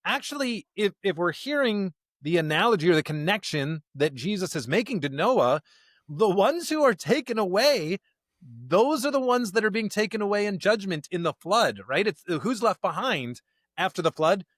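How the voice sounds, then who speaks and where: A man with a slightly high voice, recorded dry and close. He works through Bible passages as a podcast co-host, moving between reading and conversational commentary as he thinks aloud. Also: very animated, moderate pace, fairly bright tone, dry recording. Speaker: podcast co-host discussing scripture.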